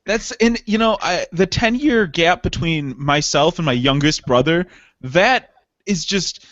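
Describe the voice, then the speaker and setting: High-voiced young man, dry lo-fi sound. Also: lively intonation, measured pace, fairly bright tone, dry recording. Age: 20s. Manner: rambling, laughing, profane. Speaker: podcast co-host. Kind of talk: casual chat.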